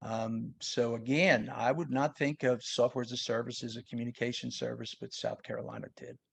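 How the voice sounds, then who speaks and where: A man's voice: mid-range voice, level tone, brisk, gravelly, husky. A man with a New York accent giving an informal explanatory webinar talk in a conversational, plain-spoken way. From New York, United States. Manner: conversational, plain-spoken, uses everyday examples. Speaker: sales tax consultant presenting.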